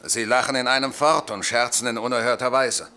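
An actor in a radio drama playing a scornful, blustering Roman centurion who barks orders and grumbles indignantly. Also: male voice, mid-range voice, fairly even intonation, brisk, pushed and bright.

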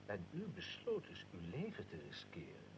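A man's voice: low-pitched, huge pitch swings, normal speed, noisy background. An actor playing a blunt, pointed male questioner in a historical radio drama.

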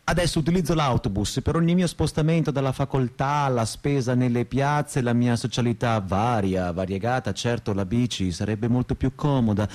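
A man's voice: mid-range voice, darkish tone, natural voice. An actor playing a frustrated, self-mocking perpetual student in his thirties in a theatrical, rhetorical radio monologue. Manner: rhetorical, repetitive, shifting between lofty lyricism and crude bluntness.

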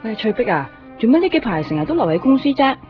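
A woman's voice: on the high side, very animated, fast. A woman playing a chatty, insecure office worker in a radio drama. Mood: friendly, curious. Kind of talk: Cantonese radio drama acting. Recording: muffled lo-fi radio recording, faint music.

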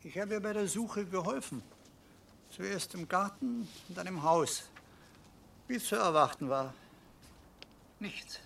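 A meek, anxious man in a radio play, with a creaky edge to his voice. He speaks hesitantly and deferentially, a little away from the microphone. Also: sits high, slow pace, long pauses, a bit bright, recorded from a distance, slight background noise, exaggerated voice. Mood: dry, puzzled. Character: meek, anxious stepbrother of two elderly teacher sisters. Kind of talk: German radio drama acting.

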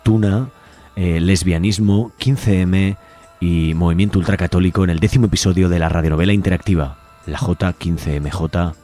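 A man's voice: low voice, steady tone, moderate pace, warm tone. A man presenting a live community radio show in a conversational way. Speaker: radio host. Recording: music playing behind the voice. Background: music.

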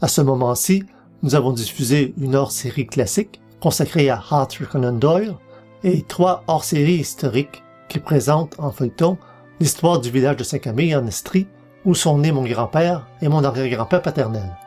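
A man's voice: mid-range voice, moderate pace, darkish tone. Québécois man presenting, music behind him. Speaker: podcast host. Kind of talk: scripted narration.

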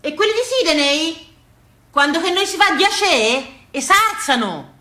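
A man's voice: very high-pitched, sing-song, unhurried, pushed and bright, reverberant, voice pushed up, full character voice. A woman playing a plain-spoken, indignant old Tuscan peasant grandfather in theatrical storytelling. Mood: amazed.

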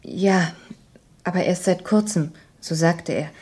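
A woman playing a frail, troubled young hospital patient in a German radio crime play, soft, breathy, subdued and plaintive. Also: lowish voice, steady tone, slow.

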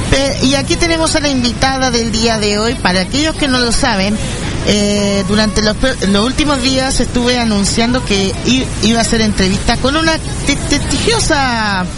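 A man casually hosting a live radio show, speaking haltingly and repeating his words. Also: extremely high pitch. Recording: music playing behind the voice.

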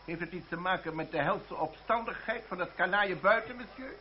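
Casting: actor as imperious, easily scandalised crown prosecutor, higher male voice, muffled old radio drama sound. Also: brisk, natural voice. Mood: irritated, haughty. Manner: pompous, exclamatory, scandalised. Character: haughty, irritable, pompous royal prosecutor. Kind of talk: Dutch radio drama acting. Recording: muffled, noisy, humming old radio recording.

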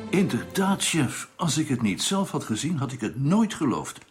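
An actor playing a practical, excitable male spaceship crewman in a radio play, speaking directly and emphatically. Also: mid-range voice, normal speed, over music, natural voice. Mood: earnest.

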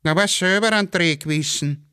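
A man's voice: sits high, brisk, pushed and bright, clean tone, dry recording, clean studio sound, pitched above the performer's own voice. A man voicing a muddled, evasive Viennese railway porter who grumbles long-winded excuses in dialect. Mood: shrugging.

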